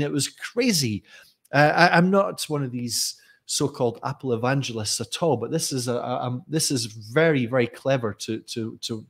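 Scottish man, soft east coast accent, forties, teacher trainer, chatty storyteller, desk mic, home room. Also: mid-range voice. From Scotland. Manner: chatty, animated storyteller with frequent restarts. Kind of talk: live-streamed teaching talk. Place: bright home room with tall windows. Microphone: desk mic with headphones.

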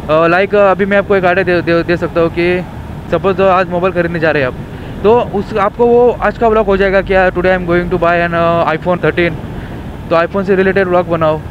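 Young man, high-pitched, chatty, mixes in english, helmet camera on a moving bike, muffled sound, faint traffic. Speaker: motovlogger. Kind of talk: casual chat while riding. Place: on a motorbike on city roads. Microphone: helmet action camera. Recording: dull lo-fi camera audio with faint traffic noise.

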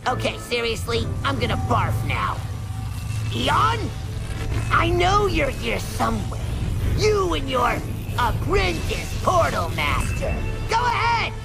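A tiny, tantrum-throwing evil overlord with a piercing, very high voice. He rants theatrically, drawing out his words and swooping wildly over loud music, as in an animated game. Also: slow pace, creaky, heavily acted. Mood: petulant, defiant. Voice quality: shrill, piercing. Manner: bombastic, theatrical ranting. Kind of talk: animated game villain acting.

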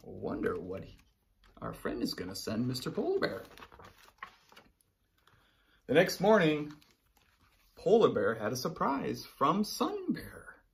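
A man in his thirties, a teacher reading a picture book aloud to young children in an encouraging, animated way. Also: fairly high pitch, a little slow, gravelly, pauses often. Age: 30s. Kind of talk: children's storybook read-aloud.

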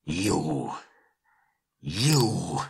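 A man's voice: low voice, a little slow, a bit bright. A man voicing a proud, wrathful dwarf king in an audiobook story, with an imperious, bitter way of speaking. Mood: furious.

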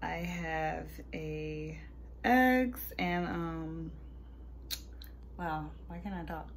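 A woman's voice: sits low, very slow, slight background noise. A young adult woman with an American accent, chatting casually to camera in an informal, slangy way as a vlogger.

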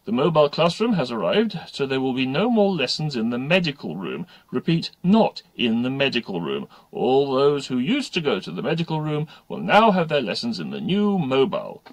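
A man's voice: mid-range voice, huge pitch swings, moderate pace, hardly any pauses, no room sound, pitched above the performer's own voice. A man voicing an officious headmaster who makes school announcements in a hearty, formal, emphatic way.

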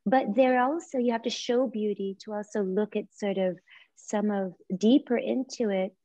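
A woman in her forties whose first language is Japanese, speaking as a visual artist on a video call, soft and reflective with many pauses and restarts. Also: medium pitch, fairly even intonation, dry recording. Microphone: laptop or phone camera on video call. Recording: muffled lo-fi video call audio.

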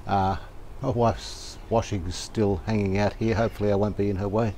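An older man calmly talking through a restoration job outdoors, thinking aloud as he works. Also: fairly low pitch, a little hiss or hum. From Australia. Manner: thinking aloud, calm and unhurried, plain-spoken with fillers. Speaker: radio restorer, channel host. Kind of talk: casual hands-on workshop narration. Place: outdoor verandah in the garden.

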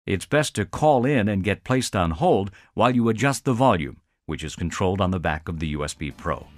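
A man reading a scripted instructional narration in a clear, structured, promotional explainer manner. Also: sits low, animated, a little fast, no room sound. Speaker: tutorial narrator.

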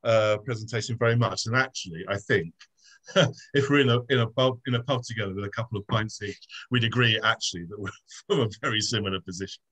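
A middle-aged man making his case in a debate over a video call, measured and earnest, with frequent ums. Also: fairly low pitch, fairly bright tone, rough recording. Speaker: debater, subdeacon. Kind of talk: formal debate presentation. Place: home room. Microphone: video call webcam.

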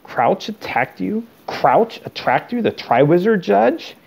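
A man's voice: medium pitch, moderate pace, creaky, darkish tone, lightly acted. A man playing a pompous, suspicious wizard headmaster in an audiobook, declaiming with blustering indignation. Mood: incredulous.

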